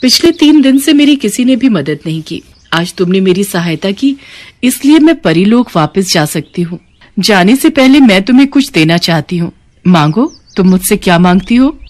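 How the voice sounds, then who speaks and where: A woman's voice: mid-range voice, very animated, moderate pace, darkish tone, close to an everyday voice. A woman voicing a benevolent female fairy in a storybook tale, gracious and reassuring.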